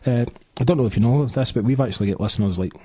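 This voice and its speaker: Fast-talking Scottish radio host. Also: male voice, mid-range voice, dark tone, husky, no room sound. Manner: fast, chatty, lots of fillers. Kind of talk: casual radio chat. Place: radio studio.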